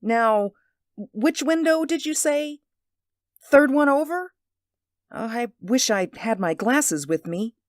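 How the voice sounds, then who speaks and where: A woman's voice: sits high, expressive, slow, no echo, studio quality. A woman playing a deferential, sceptical housemaid in a radio drama, with a folksy, respectful manner. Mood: doubtful, placating.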